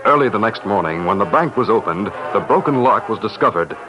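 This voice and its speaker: A deep-voiced American actor as a man narrates an old-time radio western with dramatic, measured delivery and lively intonation. The recording is muffled, with prominent music behind him. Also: close to an everyday voice. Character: measured storyteller of a western adventure.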